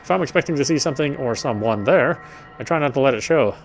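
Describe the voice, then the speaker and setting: A man's voice: mid-range voice, expressive, fast. Man narrating horror over music. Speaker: gameplay streamer reading a visual novel aloud. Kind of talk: dramatic read-aloud narration of a horror story. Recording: music behind the voice. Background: game music.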